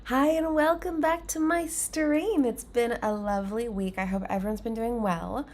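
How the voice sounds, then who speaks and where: A woman hosting her own livestream, chatting casually and full of fillers, a bit congested and under the weather. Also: a little high. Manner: chatty, filler-heavy, upbeat. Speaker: livestream host.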